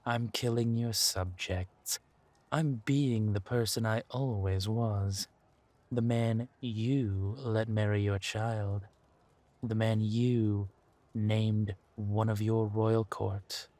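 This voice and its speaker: A man playing a ruthless, mocking warrior villain in a fantasy audio drama, with a husky voice and a deliberate, taunting delivery. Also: fairly low pitch, steady tone, a little mellow, no room sound. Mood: cold menace.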